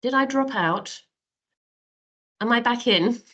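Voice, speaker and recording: A woman's voice: on the high side, takes it slowly, fairly bright tone, muffled recording. A woman with a cold and a nasal voice, giving a professor's presentation on a video call webinar.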